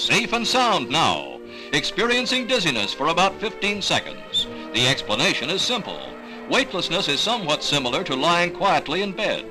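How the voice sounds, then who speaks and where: Lively high-pitched American newsreel man over music. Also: low-quality recording. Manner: dramatic, measured announcer delivery. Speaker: newsreel narrator. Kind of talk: scripted 1960s newsreel narration.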